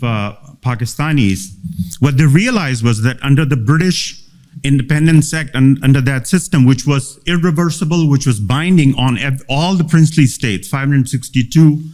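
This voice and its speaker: A man's voice: a little high, darkish tone. A man from Gilgit-Baltistan whose first language is Tibetan, giving an earnest seminar talk with frequent fillers and restarts. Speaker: seminar speaker. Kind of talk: impassioned public talk.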